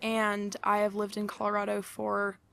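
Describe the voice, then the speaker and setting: A woman's voice: mid-range voice, completely flat delivery, moderate pace, gravelly, fairly bright tone. A young woman from Connecticut talking casually and animatedly in a street interview.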